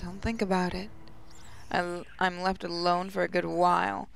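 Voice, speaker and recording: A woman gaming host reading a visual novel aloud as expressive first-person story narration. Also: on the low side, normal speed, vocal fry, clean tone. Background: music.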